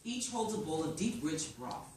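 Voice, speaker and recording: Low-voiced woman narrating in an echoey room. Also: sing-song, moderate pace, a little mellow. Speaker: stage-direction reader at screenplay reading. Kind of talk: scripted screenplay narration. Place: live staged reading in a room. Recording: echoey room, distant mic, faint noise.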